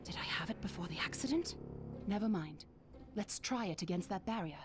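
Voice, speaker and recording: A woman's voice: fairly low pitch, lively intonation, brisk, close to an everyday voice. A woman playing a determined young monster hunter in a video game, earnest and focused. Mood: pondering. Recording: music behind the voice.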